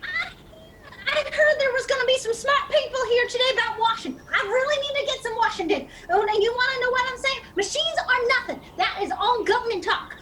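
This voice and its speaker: Shrill, frantic conspiracy-ranting woman. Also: fairly quick, a little hiss or hum. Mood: eager, loud. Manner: ranting, wailing, shouting, preacher-like. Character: frantic conspiracy-preaching woman street interviewee. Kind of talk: improvised comedy sketch.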